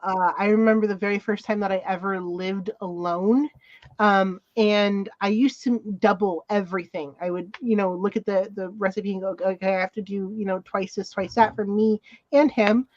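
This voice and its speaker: A woman chatting casually on a podcast, telling things haltingly with pauses mid-sentence. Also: mid-range voice, fairly even intonation, a little mellow, muffled recording. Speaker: talk show panelist.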